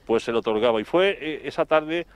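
Male TV reporter, middle-aged, Spain accent, smooth clear voice, halting and wordy live delivery. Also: a little high, expressive, dry recording. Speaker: television reporter. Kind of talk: live report, spoken recollection. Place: outdoors in front of an office building. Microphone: handheld microphone, through a face mask.

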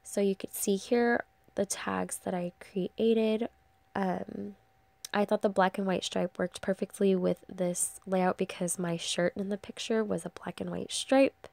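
A woman's voice: mid-range voice, fairly even intonation, a little slow, gravelly, a little mellow, dry recording. A young woman talks through her craft tutorial in a chatty, rambling voice-over, full of ums. Her nose is stuffed up from a cold, so her mid-range voice sounds congested. The recording is dry and close, with no room sound. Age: young adult. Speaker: crafting channel host.

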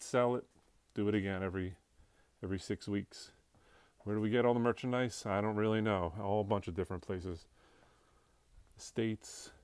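A man strolls a crowded furniture showroom, filming on a phone and rattling off the pieces as he passes them. His voice is smooth and clear, with a mid-range pitch. The sound is dry and close. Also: darkish tone, dry recording. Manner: offhand, listing items as he walks. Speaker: auction house staff giving a preview walkthrough. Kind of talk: casual walkthrough narration. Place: large indoor auction showroom full of furniture. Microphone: handheld phone camera, speaker off screen.

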